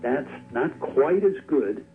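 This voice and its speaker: A man's voice: mid-range voice, expressive, slight background noise. A man explaining steadily as a medical expert calling into a radio show, heard over the telephone. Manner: measured, explanatory. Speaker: guest vaccine expert on phone. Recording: tinny phone line on radio.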